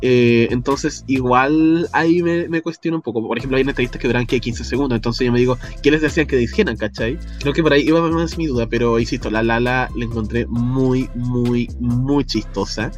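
A man's voice: mid-range voice, fairly even intonation, brisk, few pauses, muffled recording, soft background music. A man with a Chilean accent, co-hosting a podcast in casual chat, chatty and laughing often as he drops slang. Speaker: podcast co-host.

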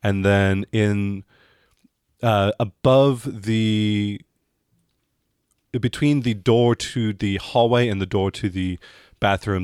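A man narrating as game master of a tabletop role-playing game in a conversational, halting way. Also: lowish voice, smooth, dry recording, clean studio sound.

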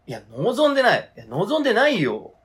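A man acting a hesitant, flustered partner in an amateur radio drama, protesting in a halting, conversational way. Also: high-pitched, huge pitch swings, fairly bright tone, breathy edge, full character voice. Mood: panicked.

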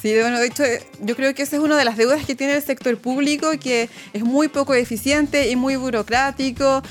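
A woman's voice: sits high, steady tone, brisk, soft background music. A Chilean woman speaking as a political candidate in a casual campaign interview, explaining her plans.

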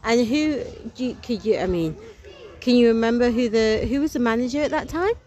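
A woman's voice: a little high, animated, a little fast, a little mellow. A woman hosting a casual podcast, chatty and enthusiastic. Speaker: podcast host.

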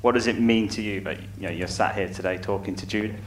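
Male host: low and even, earnest, recorded distantly in an echoey hall. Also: quick pace. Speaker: panel host. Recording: echoey hall, distant mic, room noise.

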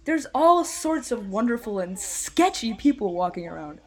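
A woman's voice: a little high, big pitch swings, normal speed, a little mellow. A woman playing an eccentric, relentlessly upbeat campus tour guide in a comedic audio drama, with a theatrical delivery. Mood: gleeful.

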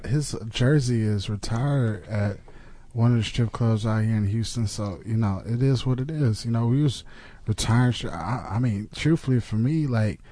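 A man's voice: mid-range voice, normal speed, warm tone. Laid-back rambling man. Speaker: comedian playing a boastful rapper. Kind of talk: improvised comedy sketch.

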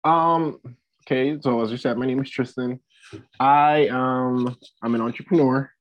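A man's voice: medium pitch, fairly even intonation, unhurried. Young guy from the bahamas on a podcast, kinda hesitant, repeats himself, muffled lo-fi sound. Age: 20s. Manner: hesitant, repeats phrases as he thinks. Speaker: podcast guest, student athlete and entrepreneur. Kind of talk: casual interview talk. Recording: dull lo-fi audio.

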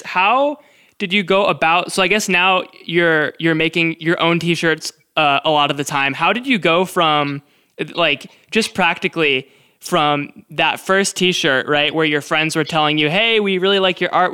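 A man's voice: on the high side, sharp tone, smooth. A man interviewing an artist in a casual way, affirming often and asking long rambling questions. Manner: encouraging, frequent short affirmations.

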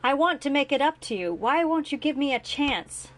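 A woman's voice: medium pitch, lively intonation, normal speed, vocal fry. A woman reading as a remorseful, pleading older father. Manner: pleading, then firm and impatient. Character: remorseful older father seeking forgiveness, self-assured.

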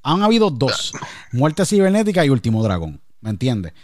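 A man's voice: medium pitch, wildly swooping pitch, moderate pace, dry recording, clean studio sound. A man with a Puerto Rican accent, talking at length in a casual interview and often checking that the listener follows him. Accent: Puerto Rican Spanish. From Puerto Rico. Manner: talkative, emphatic, repeats "me entiendes". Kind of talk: casual conversation.

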